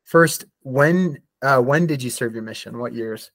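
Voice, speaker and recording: A man's voice: medium pitch, unhurried, fairly bright tone, no room sound. A man hosting an interview, asking friendly questions in an upbeat, encouraging way. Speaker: podcast host, interviewer.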